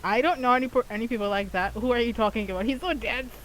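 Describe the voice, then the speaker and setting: A game streamer giving casual, chatty commentary, often halting and stammering over repeated words. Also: mid-range voice, fairly bright tone, slight background noise, poor audio.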